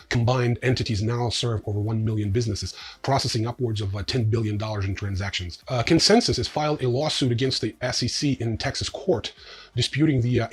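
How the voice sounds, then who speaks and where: A man's voice: medium pitch, husky. A man hosting a news show, reading scripted stories with dry, sardonic offhand asides.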